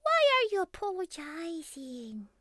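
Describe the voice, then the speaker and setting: A naive young catgirl speaks in a tiny, extremely high voice. She talks slowly and hesitantly, with childlike, pleading earnestness. It is a clingy, intimate ASMR roleplay, recorded very dry and close. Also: big pitch swings, pushed and bright, no echo, heavily acted. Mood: tender, pleading. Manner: childlike, hesitant, pleading, slightly formal phrasing.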